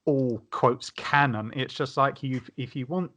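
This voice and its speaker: Man with medium pitch, chatting casually. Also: a little slow, fairly bright tone, a little hiss or hum. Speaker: podcast panellist. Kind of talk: casual chat.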